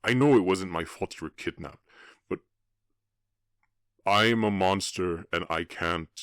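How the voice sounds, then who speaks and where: A man voicing a gruff but tender half-orc cowboy in an ASMR roleplay, ashamed and protective, speaking haltingly with feeling and often dropping to a murmur. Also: deep voice, a bit bright, dry recording, studio quality. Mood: exasperated.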